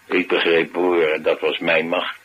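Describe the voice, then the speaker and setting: An actor playing a streetwise, talkative driver, confiding and musing in a colloquial radio drama style. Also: male voice, low-pitched, flat delivery, a little slow, lo-fi sound. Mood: wry, knowing. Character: streetwise, talkative male driver, wry and brooding. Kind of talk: naturalistic radio drama acting. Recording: noisy radio drama recording.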